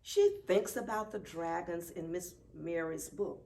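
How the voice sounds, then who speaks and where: A woman reading a children's picture book aloud at story time, expressive and deliberate. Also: fairly low pitch. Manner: deliberate, animated. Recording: distant mic, faint background noise.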